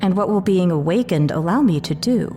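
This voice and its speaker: A woman's voice: fairly low pitch, expressive, fairly quick, dark tone, soft background music, close to an everyday voice. An actress playing a curious, fair-minded woman questioning others in an audio drama, direct in manner.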